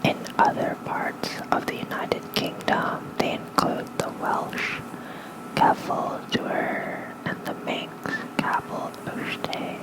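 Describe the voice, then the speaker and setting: An ASMR host reading lore in a soft, breathy whisper into a close microphone, unhurried and halting, with informal asides. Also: low-quality recording. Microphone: close fluffy-covered ASMR microphone.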